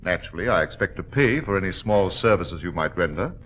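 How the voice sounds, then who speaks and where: An actor playing a suave, scheming English gentleman gambler in a 1940s radio drama, with an insinuating manner. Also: sits low, animated, brisk.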